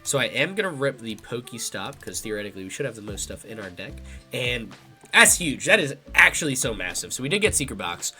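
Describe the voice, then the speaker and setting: Young man, bright voice, stuffy nasal tone, chatty card game commentary, faint music behind. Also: mid-range voice, sing-song, a little fast. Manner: thinking aloud, chatty and casual. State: congested, a little nasal. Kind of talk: live gameplay commentary.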